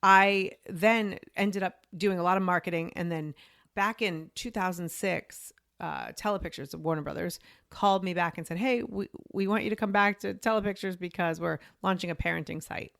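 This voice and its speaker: A woman in her forties with a lowish, gravelly voice tells her career story to an interviewer. She talks in a chatty, animated way and slips into little voices to quote herself and others. The sound is close and dry. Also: normal speed, no room sound. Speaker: podcast guest.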